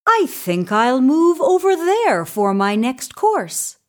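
A woman's voice: high voice, sing-song, slow, pitched above the performer's own voice, full character voice. A woman voicing an earnest, middle-sized male billy goat in a children's story.

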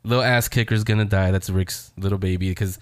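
A man's voice: low-pitched, fairly even intonation, brisk, smooth, dry recording, studio quality. A man hosting a show in a casual, rambling, conversational style, talking straight to his audience. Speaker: show host. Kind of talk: casual chat reviewing TV shows.

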